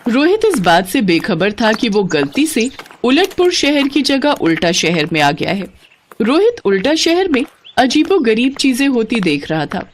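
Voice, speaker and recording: Female storyteller, scripted children's narration, expressive intonation, clear background noise. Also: a little high, measured pace.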